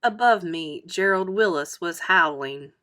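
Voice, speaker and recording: A woman storyteller narrating a children's book aloud in an expressive style. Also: fairly low pitch, a little slow, faint music behind. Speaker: read-aloud storyteller, teacher.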